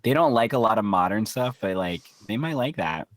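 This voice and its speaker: Lively, playful man chatting. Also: lowish voice, a little fast, no echo. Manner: lively, playful, laughs often. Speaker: podcast co-host. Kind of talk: casual chat.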